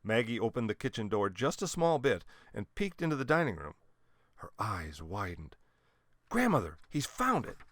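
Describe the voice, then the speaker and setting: A man narrating a children's storybook in an expressive way with dramatic emphasis. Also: lowish voice, normal speed, no echo, studio quality. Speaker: storybook reader.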